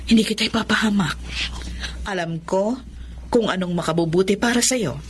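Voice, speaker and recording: A woman's voice: medium pitch, moderate pace, a little hiss or hum, natural voice. An actress playing a firm, domineering middle-aged mother and company owner in a Filipino melodrama. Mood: insistent. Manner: firm, imperious.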